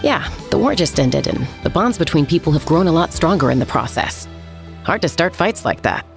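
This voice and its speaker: A laid-back young fighter in a video game. The voice sits low for a woman with plenty of gravelly fry, yet moves in lively swings, candid and easygoing. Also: moderate pace, full character voice. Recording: faint game music behind the voice.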